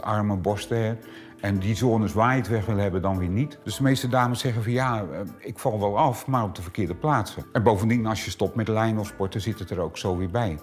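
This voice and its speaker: Man in his fifties, low voice, halting fillers, small room, lapel mic, soft music underneath. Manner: hesitant, many filler sounds. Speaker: clinic owner. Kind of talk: explanatory interview answers. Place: small treatment room indoors.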